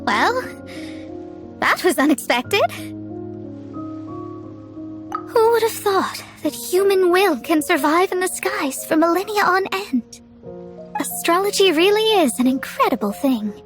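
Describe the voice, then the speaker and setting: Game role: earnest young female astrologer, high voice, formal and scholarly, faint music underneath. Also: sing-song, a little slow, long pauses, exaggerated voice. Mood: thoughtful, impressed. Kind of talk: video game dialogue.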